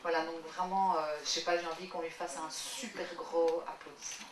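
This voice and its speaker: A woman speaking from the audience after a screening, halting and enthusiastic, stumbling over her words. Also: lowish voice, flat delivery, very noisy. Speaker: audience member. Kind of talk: public Q&A remarks. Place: screening hall. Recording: roomy hall, distant mic.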